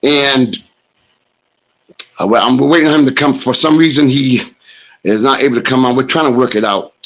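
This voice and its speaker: A man's voice: medium pitch, moderate pace, a bit bright. A man hosting a sports talk show, telling a story in a loose, rambling, casual way. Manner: loose, rambling anecdote with fillers.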